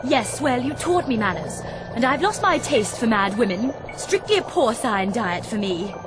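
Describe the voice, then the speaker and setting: A woman's voice: a little high, big pitch swings, moderate pace, over music. A woman playing a vain, bossy, gluttonous grande dame in a video game, pompous and theatrical in delivery. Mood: imperious, teasing. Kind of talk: video game character acting.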